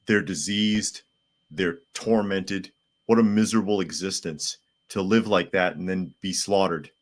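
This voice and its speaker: A man hosts a live podcast in a low, slightly hoarse voice, his throat still sore from a recent illness. He talks casually and plainly, reading viewer comments aloud and answering them. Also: a bit bright. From United States. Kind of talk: casual live-stream chat.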